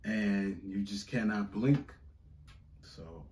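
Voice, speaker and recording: Slow-talking man in an echoey room. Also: on the low side, a little mellow, distant mic. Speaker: reaction video co-host. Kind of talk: casual chat with a partner. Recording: roomy, echoing home recording.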